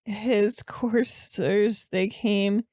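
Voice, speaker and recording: Podcast comedy role: flustered, dim young male student, played by a woman, painfully slow halting delivery, awkward strained voice, very dry sound. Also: mid-range voice, fairly even intonation, darkish tone, no echo. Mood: halting, earnest. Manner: halting read-aloud, stumbling over and mangling words. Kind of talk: improvised comedy podcast character.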